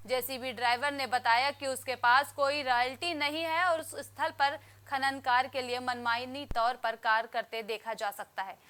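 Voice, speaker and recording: A woman reads the news in a formal, even newsreader manner. Her voice sits high and sounds bright and clear. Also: normal speed, few pauses. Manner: crisp formal newsreader delivery. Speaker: news anchor. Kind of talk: scripted news reading.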